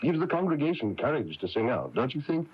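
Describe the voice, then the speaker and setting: An actor playing a genial, courteous small-town minister in a 1980s radio drama. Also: male voice, medium pitch, very animated, brisk, lightly acted. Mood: kindly, attentive. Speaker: church minister. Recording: muffled noisy radio broadcast tape.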